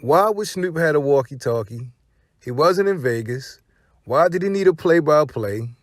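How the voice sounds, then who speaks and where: A man's voice: on the high side, animated, moderate pace, no echo, studio quality. An American man hosting casual gossip commentary, speaking in profane slang and posing rhetorical questions. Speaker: commentary channel host.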